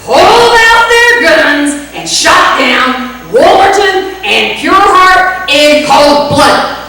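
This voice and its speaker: A woman's voice: high voice, big pitch swings, slow pace, full character voice. A woman playing a brassy, rowdy cowgirl who narrates tall tales in a comic radio western. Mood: droll, folksy. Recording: echoey, distant stage recording, faint noise.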